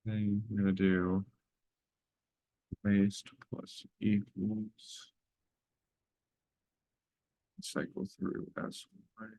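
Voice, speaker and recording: A young man getting over a cold, talking through a coding test in a halting, self-correcting way. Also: lowish voice, flat delivery, dark tone, no echo, poor audio. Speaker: student solving a coding test. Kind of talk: think-aloud coding walkthrough.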